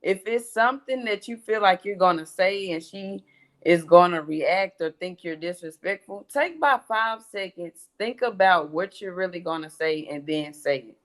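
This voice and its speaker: A woman's voice: lowish voice, normal speed. A young woman, a daughter on a church panel, giving firm, earnest advice.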